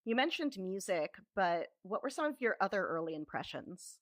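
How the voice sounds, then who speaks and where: A woman's voice: lowish voice, big pitch swings, normal speed, no echo, clean studio sound. A woman hosting a podcast, asking empathetic follow-up questions. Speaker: podcast host. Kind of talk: interviewer conversation.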